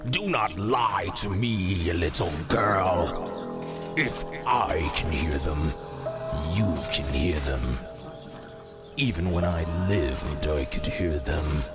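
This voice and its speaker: An extremely low-voiced ghost of an old killer speaks slowly over loud music, taunting and accusing. He is a menacing mentor in an audio drama. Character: dead murderous mentor haunting his former pupil, menacing, taunting.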